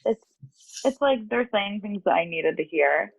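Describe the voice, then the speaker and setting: A woman's voice: medium pitch, lively intonation, measured pace, gravelly, slightly rough, no room sound, narrow, tinny audio. A young woman, a guest at a tarot reading, chatting casually in an informal, reflective and self-deprecating way over thin call audio. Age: young adult. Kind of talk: casual chat.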